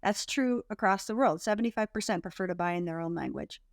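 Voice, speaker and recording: A woman's voice: mid-range voice, lively intonation, fast, creaky, no echo, clean studio sound. A woman speaking as an expert podcast guest, conversational, informal and plain-spoken with emphatic points. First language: English. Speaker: podcast guest, content marketing consultant.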